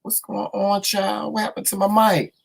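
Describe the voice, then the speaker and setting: Hoarse man hosting a folksy morning stream. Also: high-pitched, monotone, normal speed. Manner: folksy, teasing, talks to the chat; repeats words and restarts often. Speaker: morning show host. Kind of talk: casual livestream chat with viewers.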